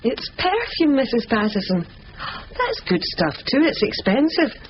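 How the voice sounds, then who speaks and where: A woman's voice: sits high, wildly swooping pitch, measured pace, heavily acted. An actress playing an eager, deferential younger woman in a radio drama. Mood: polite, curious. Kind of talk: 1970s radio drama acting. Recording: dull, noisy old radio broadcast.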